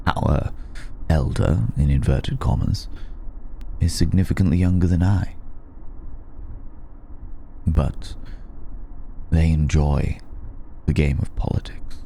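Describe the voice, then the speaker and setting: A man playing an ancient, courteous vampire in an intimate ASMR roleplay, patient and seductive, with halting, deliberate, formal phrasing. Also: a bass voice, sing-song, gravelly, mellow, slight background noise, heavily acted. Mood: measured, confiding.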